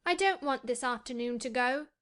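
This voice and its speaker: A woman plays a frail young boy in a storybook reading, his voice pitched high. He is excitable and imperious, speaking like a little invalid used to being obeyed. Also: normal speed. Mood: eager, determined. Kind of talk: audiobook character voice.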